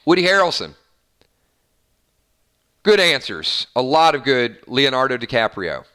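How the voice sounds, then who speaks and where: A man's voice: medium pitch, big pitch swings, a little slow, bright, forceful tone. A man hosting a casual fan live stream, chatting and reading out viewer comments in an upbeat, rambling way. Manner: chatty, upbeat, lots of fillers and restarts.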